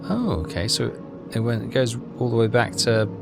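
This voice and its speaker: A man's voice: medium pitch, animated, moderate pace, gravelly. A man doing casual game commentary, hesitantly thinking aloud and reading text from the screen. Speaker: game walkthrough player. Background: loud game music.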